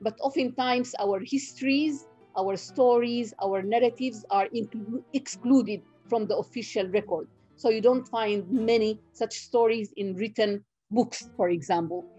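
A middle-aged woman from Sudan whose first language is Nubian, a professor giving an online guest lecture in a measured way with many fillers and restarts, on a video call from home. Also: a little high, dark tone, husky, soft background music, rough recording. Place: home room.

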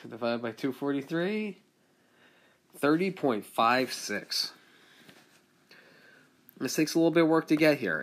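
A male statistics teacher recording a screencast lesson, talking through each calculation step by step as he works it out. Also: mid-range voice. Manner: steady step-by-step explaining, talks through calculations aloud.

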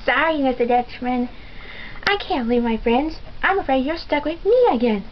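A woman's voice: high-pitched, animated, moderate pace, slight background noise. A woman doing a chirpy cartoon sea sponge. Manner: bright, chirpy and upbeat. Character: cheerful boyish sea sponge, eager. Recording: dull lo-fi game capture with music.

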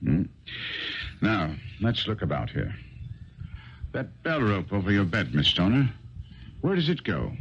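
An actor playing a shrewd, methodical gentleman detective in a 1970s radio drama, musing with hums and probing questions. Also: on the low side, big pitch swings, unhurried, long pauses. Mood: methodical, assured. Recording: dull, noisy 1970s radio recording.

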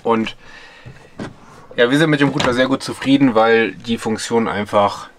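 A man's voice: mid-range voice, normal speed, slight background noise. Chatty man in a camper van. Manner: chatty, explaining with frequent fillers. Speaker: vlogger. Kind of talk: casual product review vlog.

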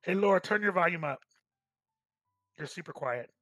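A man talks casually with friends on an online voice call. His voice sits a little high for a man, and the sound is dry, with little room in it. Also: expressive, normal speed, sharp tone, dry recording, soft background music. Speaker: participant in online staged reading. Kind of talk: casual chat.

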